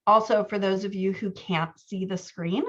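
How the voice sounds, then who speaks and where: A woman's voice: mid-range voice, steady tone, normal speed, dull audio. A woman working as a writing coach, going through written excerpts in a conversational, self-deprecating way. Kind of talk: workshop critique, reading excerpts aloud and commenting.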